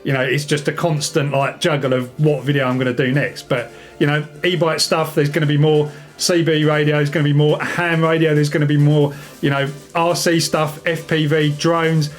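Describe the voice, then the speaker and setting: A man's voice: a little high, level tone, normal speed, hardly any pauses. Casual man chatting to viewers, music behind. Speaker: video host, radio hobbyist. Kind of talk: casual chat to camera.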